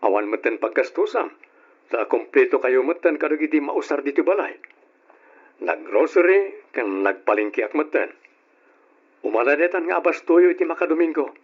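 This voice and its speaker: Bossy, high-voiced Ilocano husband. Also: male voice, lively intonation, moderate pace, slightly rough. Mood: firm, explaining. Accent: Filipino, Ilocano. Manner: scolding, insistent. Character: bossy, defensive husband and father, easily irritated. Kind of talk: old-fashioned radio drama acting. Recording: dull lo-fi radio drama recording.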